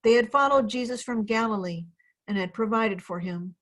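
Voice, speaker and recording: A woman's voice: on the high side, normal speed, fairly bright tone. A woman pastor reading scripture narrative aloud as part of a group reading on a video call. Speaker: Lutheran pastor.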